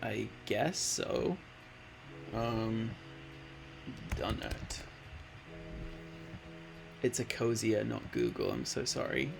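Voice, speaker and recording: A man's voice: on the low side, very animated, drawn-out words, gravelly, pauses often, background noise. A man in his late twenties chatting casually on a live stream, rambling with lots of fillers and easily delighted. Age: late 20s. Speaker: art streamer.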